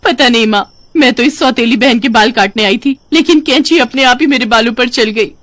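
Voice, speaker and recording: A woman's voice: fairly high pitch, brisk, fairly bright tone, dry recording, voice pushed up, lightly acted. A woman voicing a jealous young stepsister in a storybook tale, whiny, spiteful and melodramatic.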